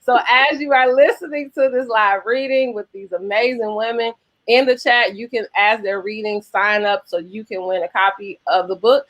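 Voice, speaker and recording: A woman hosting a live online book event in a casual, effusive and excited manner. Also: a little high, normal speed, dull audio.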